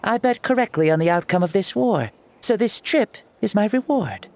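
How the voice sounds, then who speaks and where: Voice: mid-range voice, animated, moderate pace, darkish tone, slight background noise, natural voice. An actor playing an ancient hero who inhabits a young boy's body in a video game, theatrical, jaunty and self-assured. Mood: solemn, storytelling.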